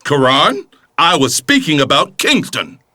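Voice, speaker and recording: A man's voice: fairly high pitch, very animated, a little slow, pushed and bright, dry recording, clean studio sound, heavily acted. An actor playing an angry, domineering husband and father who scolds and confronts in an audio drama. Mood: exasperated.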